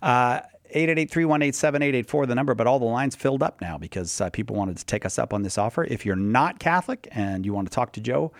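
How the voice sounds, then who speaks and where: A man's voice: mid-range voice, expressive, quick pace, few pauses, no room sound, clean studio sound. A man hosting a live radio call-in show, chatty and friendly, often correcting himself. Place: radio studio.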